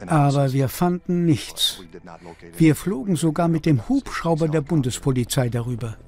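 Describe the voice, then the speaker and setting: Documentary dub: man playing a detective with a fairly high-pitched voice, recalling a case. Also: moderate pace, darkish tone, soft background music, close to an everyday voice. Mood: matter-of-fact. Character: male American investigator recalling a search. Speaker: investigator in documentary. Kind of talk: dubbed documentary interview.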